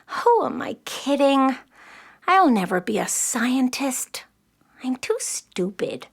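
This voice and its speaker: A woman's voice: high voice, big pitch swings, slow, full character voice. A woman playing a frustrated, dreamy nine-year-old city girl in a children's audio play, dramatic and full of self-doubt. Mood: exasperated, despairing.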